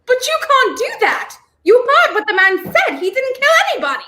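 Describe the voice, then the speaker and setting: A woman's voice: very high voice, big pitch swings, brisk, bright, forceful tone, full character voice. A young woman acting a spirited romantic heroine in a 1930s-style radio play. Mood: indignant. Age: young adult.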